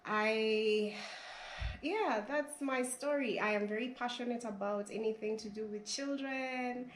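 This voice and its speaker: Hoarse woman in her forties from Kenya, earnest and halting, in an echoey room. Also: a little high, a little hiss or hum. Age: 40s. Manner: hesitant, restarts, earnest. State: hoarse from a flu. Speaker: channel host, interviewee. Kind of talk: casual interview. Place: her family home in a village.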